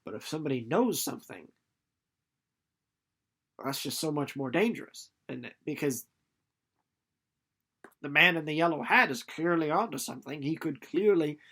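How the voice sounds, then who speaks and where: A man's voice: on the high side, sharp tone. A young man giving a casual, informal book recap, playful and rambling off into asides. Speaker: book reader and presenter.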